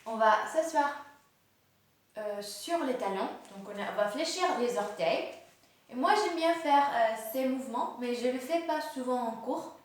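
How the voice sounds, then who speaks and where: A woman in her late twenties leading a guided yoga class in a gentle, hesitant way, with many fillers and restarts. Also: sits high, measured pace. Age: late 20s. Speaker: yoga instructor. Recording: very echoey room, voice far from mic.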